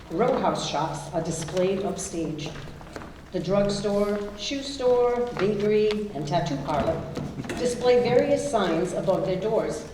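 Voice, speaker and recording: A woman's voice: medium pitch, mellow. Distant woman narrating in a very echoey hall. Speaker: stage directions reader. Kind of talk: scripted narration. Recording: echoey hall from far off, faint noise.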